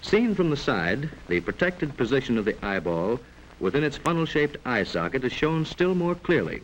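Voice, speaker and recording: A man delivers formal, scripted narration in the style of a 1940s classroom film. His mid-pitched voice rises and falls in lively arcs as he explains in a measured, instructive way. The old film soundtrack carries a faint layer of noise. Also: low-quality recording.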